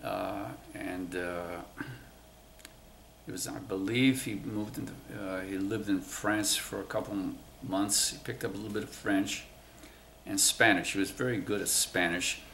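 A man in his nineties, born in Cuba, slowly recalling his family's past in an oral history interview, pausing often. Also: low-pitched, fairly even intonation, gravelly, fairly bright tone, reverberant, a little hiss or hum. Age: 90s. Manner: slow, halting recollection with many pauses. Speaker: interviewee, WWII navy veteran. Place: museum room, indoors.

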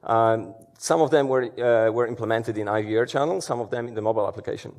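A man's voice: medium pitch, fast talker, a little mellow. Man giving a conference talk. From Poland. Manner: conversational, many fillers and restarts. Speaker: security conference speaker. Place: large dark auditorium stage.

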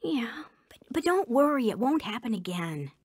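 An actress playing a reckless, party-loving young wife in a radio drama. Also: female voice, on the high side, sing-song, normal speed, soft background music. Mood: defensive.

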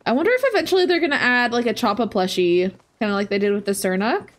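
A young woman in her twenties chatting casually while she plays a game on stream, thinking aloud through a headset mic, her voice a bit raspy today. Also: a little high, lively intonation, fast talker. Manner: chatty, thinking aloud, soft asides to chat. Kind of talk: casual gameplay commentary.

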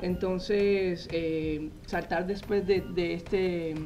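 A man, a magazine editor telling his story as a guest in a TV studio interview, animated and full of "eh" fillers, speaking into a lapel mic. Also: high-pitched, very slow, soft background music. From Colombia. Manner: animated storytelling, frequent "eh" fillers, gestures while talking. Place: TV news studio set.

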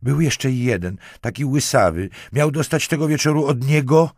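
A man's voice: deep voice, big pitch swings, slow, fairly bright tone, breathy edge, dry recording, studio quality, full character voice. A man voicing a brash young woman in an audiobook, with an agitated delivery. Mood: shaken, pleading. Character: brash young woman, mocking, then terrified under pressure.

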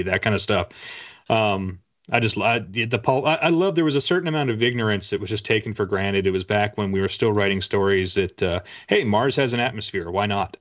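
A man's voice: fairly low pitch, a bit bright. A middle-aged man chatting casually as a guest author in an interview, rambling enthusiastically through his stories. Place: indoor room with bookshelves, on a video call. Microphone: video call. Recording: slightly dull video-call audio.

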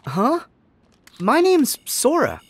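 An actor, a man, playing an earnest, friendly teenage boy hero in an animated video game. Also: very high-pitched, slow, husky, no room sound, heavily acted. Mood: puzzled. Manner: earnest, youthful.

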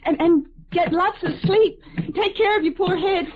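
Giddy teenage sweetheart, very high voice, gushing, old radio drama, tinny recording. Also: female voice, takes it slowly. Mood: tender, worried. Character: giggly, sweet, lovestruck teenage girl. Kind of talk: 1950s radio drama acting. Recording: tinny, band-limited vintage radio recording.

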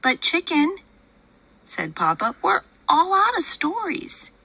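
A woman reading a picture book aloud in a gentle, patient storytelling manner, voicing the father. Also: very high voice, huge pitch swings, takes it slowly, gravelly, rough recording.

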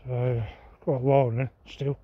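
A man giving halting, off-the-cuff commentary as he walks around outdoors with a handheld camera. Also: mid-range voice, flat delivery. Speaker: urban exploration vlogger. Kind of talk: casual walking vlog commentary. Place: outdoors on an overgrown derelict site. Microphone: handheld camera's built-in microphone. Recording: muffled, noisy handheld outdoor recording.

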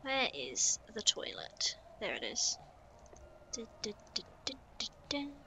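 A young woman talks her way through a building game, muttering and humming to herself as she goes. Her voice is bright and lively, rising and falling freely, and she takes her time. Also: a little high, slow, slight background noise. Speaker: streamer. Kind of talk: casual gameplay commentary.

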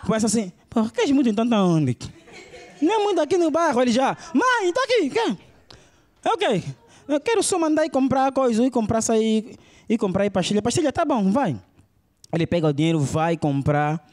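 A man's voice: extremely high pitch, sing-song, a little fast, darkish tone. A young man with an Angolan Portuguese accent doing stand-up storytelling, acting out characters on a club stage. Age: young adult. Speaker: stand-up comedian. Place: comedy club stage with audience. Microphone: handheld microphone.

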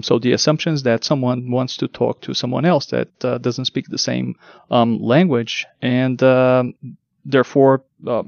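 A man whose first language is Portuguese explains his work in an unhurried interview, pausing on ums and repeating words. His voice has a gravelly edge. The sound is dry and a bit muffled, as if lo-fi. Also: medium pitch, darkish tone, no room sound. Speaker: guest, translation test lead. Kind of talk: technical interview.

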